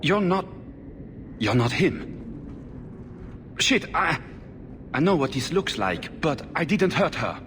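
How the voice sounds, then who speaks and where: A man's voice: a little high, sing-song, slow, long pauses, a bit bright, exaggerated voice. A man acting a desperate, vengeful blood mage in a video game, intense, defensive and insistent. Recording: noisy, long-echoing hall.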